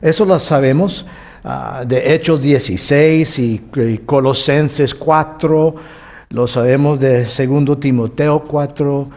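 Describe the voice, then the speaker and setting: A man teaching from the Bible to a congregation, with Costa Rican and Mexican Spanish and a halting manner full of restarts. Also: on the high side. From United States, California. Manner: warm, halting with many restarts, chuckles often. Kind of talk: sermon teaching.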